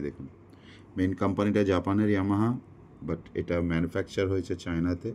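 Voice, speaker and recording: Guy doing an unboxing, really deep voice, explains step by step, faint room noise behind him. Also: darkish tone. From India. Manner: explanatory, mixes in English words and phrases. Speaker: product unboxing presenter. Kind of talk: casual unboxing narration.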